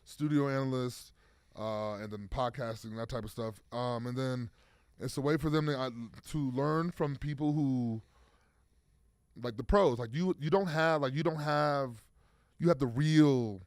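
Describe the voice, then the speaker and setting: A man's voice: mid-range voice, a little slow, clean tone, no echo, studio quality. A man in his thirties, a football player guest, talking casually in an interview with lots of fillers and restarts. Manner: rambling, many fillers and restarts.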